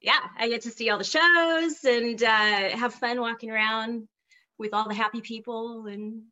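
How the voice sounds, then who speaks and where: Bright, cheerful woman, soft trailing voice. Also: a little high, moderate pace, highs cut off. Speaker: guest, cocktail server. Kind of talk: casual self-introduction on a video call.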